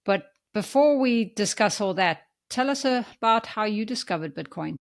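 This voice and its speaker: A woman speaks as a podcast host in a conversational interview style. Her voice sits at an average pitch for a woman, and she talks as someone guiding a guest along. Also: lively intonation, normal speed.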